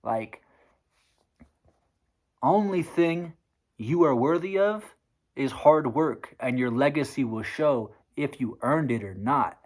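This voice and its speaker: A man preaching hard work in a blunt, profane rant to the camera. His voice sounds sore and strained from talking. He is neither high nor low in pitch. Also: animated, slow, long pauses, darkish tone. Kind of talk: motivational rant vlog.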